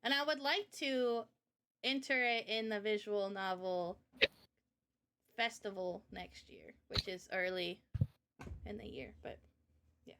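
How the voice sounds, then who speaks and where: A woman hosting a relaxed question-and-answer chat as a game developer, explaining things informally. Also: medium pitch, slow pace, pauses often, a little hiss or hum. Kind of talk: casual chat, Q&A.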